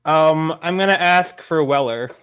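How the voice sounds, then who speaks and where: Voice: medium pitch, unhurried, clear, resonant tone. A player at an online tabletop game, casually describing their character's moves and asking the game master questions.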